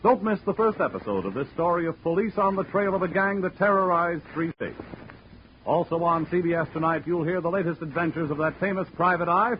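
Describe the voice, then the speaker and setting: High-voiced vintage radio announcer man. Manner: crisp, promotional delivery. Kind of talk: 1940s network radio announcing. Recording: dull old radio broadcast with faint hiss.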